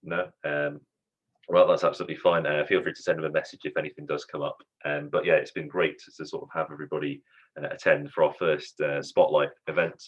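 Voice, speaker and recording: A man's voice: a bass voice, level tone, fast, husky, lo-fi sound. A man hosting an online student society event, friendly and hesitant, with many ums and restarts.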